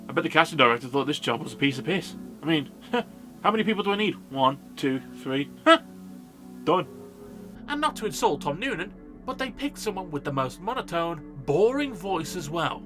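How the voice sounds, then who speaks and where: A man's voice: fairly high pitch, sing-song, normal speed, bright, forceful tone, faint music behind. A man narrating a scripted comedic film review in a sarcastic, sweary manner. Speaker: film review video host.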